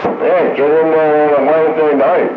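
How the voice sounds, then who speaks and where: A man's voice: a little high, level tone, normal speed, phone-quality sound. A man hosting a radio roundup, chatting casually. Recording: roomy sound with clear radio noise.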